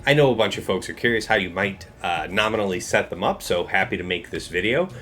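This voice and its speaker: A man's voice: low voice, lively intonation, quick pace, sharp tone, a little hiss or hum. Stuffed-up man explaining game builds. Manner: casual, explanatory, reads out game text. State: stuffed up from a head cold. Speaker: game guide creator. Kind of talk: mobile game strategy guide. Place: small room indoors.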